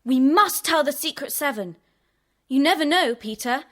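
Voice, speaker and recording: A woman playing an excitable, earnest young schoolgirl in a children's audio drama. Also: high-pitched, normal speed.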